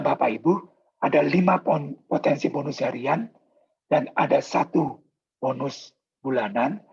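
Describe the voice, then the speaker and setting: A hoarse man giving a polite, explanatory sales presentation on a video call, with many filler pauses. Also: medium pitch, a little mellow. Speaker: product presenter. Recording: dull lo-fi video call audio.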